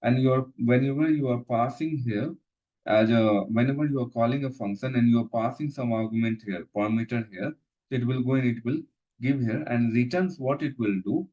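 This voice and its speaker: Halting man teaching code, lo-fi. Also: mid-range voice, warm tone. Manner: halting, repeats phrases, many fillers. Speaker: instructor. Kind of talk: live coding lesson walkthrough.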